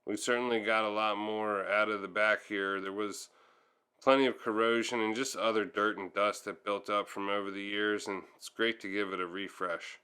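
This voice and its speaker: A man's voice: on the low side, level tone, normal speed, bright, forceful tone, clean tone. A man giving casual, hands-on how-to narration as a tutorial host, thinking aloud a little hesitantly as he goes.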